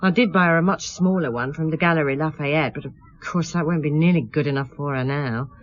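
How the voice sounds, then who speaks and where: A woman's voice: low-pitched, brisk, no echo, lightly acted. An actress playing a defensive, impatient stepmother in a radio play, curt and indignant. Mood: exasperated. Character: sharp-tongued, defensive married woman, impatient stepmother. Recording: dull lo-fi radio play audio.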